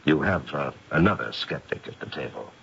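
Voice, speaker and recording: An actor playing a courtly, learned psychic investigator in a 1970s radio drama, gravelly, deliberate and politely hesitant. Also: male voice, extremely low pitch, animated, fairly bright tone, slight background noise, exaggerated voice. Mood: measured, wry. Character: courtly, learned male psychic investigator, measured and open-minded. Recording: muffled vintage radio drama recording.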